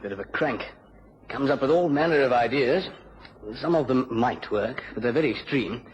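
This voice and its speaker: A man's voice: medium pitch, lively intonation, normal speed, natural voice. Clipped, sceptical British official played by a man. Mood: dismissive. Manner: clipped, dismissive. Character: dismissive, sceptical British government defence official. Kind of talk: radio drama acting. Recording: dull, noisy radio recording.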